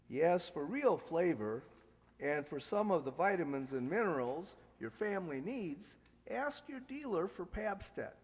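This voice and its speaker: Male announcer, somewhat high voice, scripted old-time radio delivery, hall reverb. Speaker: announcer and narrator.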